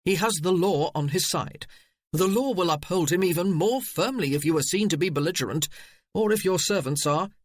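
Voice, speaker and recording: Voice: mid-range voice, normal speed, no room sound, studio quality, lightly acted. A narrator playing a cold, haughty army colonel who speaks in a commanding, clipped way.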